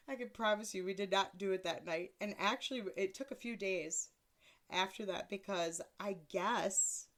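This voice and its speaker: A woman in her thirties with a deeper voice, hosting a casual solo podcast in a blunt, crude, self-mocking way and laughing often. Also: fairly bright tone. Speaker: podcast host.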